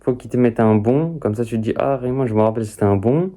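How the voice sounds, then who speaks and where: A man's voice: mid-range voice, fast, warm tone. A man giving casual, slangy commentary as a reaction streamer, addressing the viewer directly.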